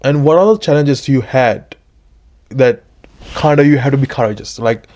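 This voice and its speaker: A man from Sri Lanka hosting a casual interview, nodding along with quick agreeing fillers and telling stories in a room at his workplace. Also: medium pitch, darkish tone, lo-fi sound. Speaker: podcast host. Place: room at a dental service workplace.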